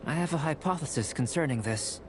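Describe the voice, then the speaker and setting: A man voicing a composed young alchemist scholar in a video game, speaking in a measured, formal, explaining way. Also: a little high, expressive, close to an everyday voice. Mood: calm. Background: game music.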